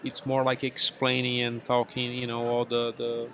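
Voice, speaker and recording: A man of average pitch speaks as an in a casual group chat. He is hesitant and searches for words as a podcast panelist. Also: completely flat delivery, normal speed, fairly bright tone.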